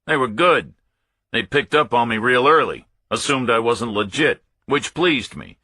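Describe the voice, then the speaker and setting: A man's voice: medium pitch, expressive, a little slow, sharp tone, no echo, pitched above the performer's own voice. A man voicing a cornered Pentagon officer in a thriller audiobook, arrogant and impatient, insisting on his story in a glib, defensive way. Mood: glib, explaining.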